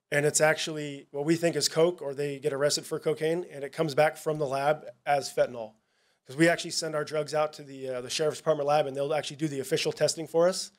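A man, a police narcotics officer, giving a casual, halting presentation at a town hall, with many fillers and restarts. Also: a little high.

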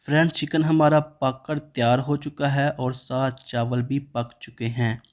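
A man's voice: medium pitch, measured pace, highs cut off. A man, narrating a home cooking recipe step by step as the channel host. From Pakistan. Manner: steady step-by-step instructional tone.

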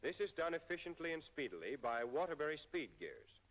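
An American man reading a scripted 1940s military training film narration in a formal, measured way with precise enunciation. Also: a little high, very animated, a bit bright. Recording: 1940s film soundtrack, long reverb.

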